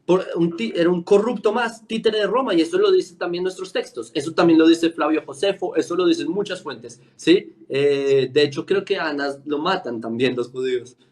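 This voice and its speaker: A man's voice: fairly high pitch, moderate pace, hardly any pauses. A man with a Colombian accent explaining history in an informal debate, emphatic and argumentative, often checking in with short tag questions.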